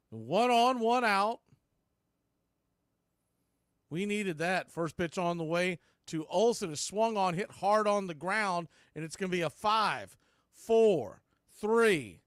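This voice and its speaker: A man's voice: sits high, fairly bright tone, no room sound, clean studio sound. A man giving live play-by-play commentary on a baseball game as the radio announcer.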